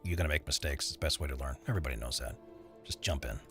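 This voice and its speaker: A man's voice: extremely low pitch, rapid-fire, gravelly, sharp tone, soft background music. A man streaming while he edits voiceover audio, chatting loosely with his viewers and rambling between tasks. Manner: rambling, chatty, answers chat while working. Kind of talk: casual live-stream chat.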